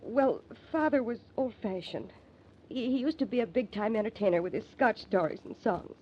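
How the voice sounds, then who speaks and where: Woman acting young heroine, fairly high voice, American accent, earnest, halting, dramatic, dull vintage radio recording. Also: expressive, a little hiss or hum, close to an everyday voice. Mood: earnest, confessing. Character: distraught young daughter of an entertainer, earnest, determined. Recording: muffled old radio recording.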